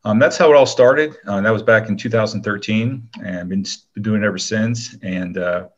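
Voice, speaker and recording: A middle-aged man with a very low voice talks as a guest in a casual interview. He is modest and conversational. The recording is a little dull and lo-fi. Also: moderate pace.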